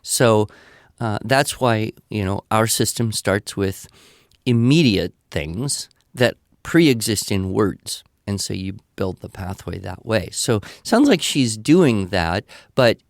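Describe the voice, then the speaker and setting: A man's voice: lowish voice, animated, takes it slowly, vocal fry, no room sound, clean studio sound. An older man answering questions in a conversational, didactic way, thinking aloud and explaining with examples. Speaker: podcast guest expert answering listener questions.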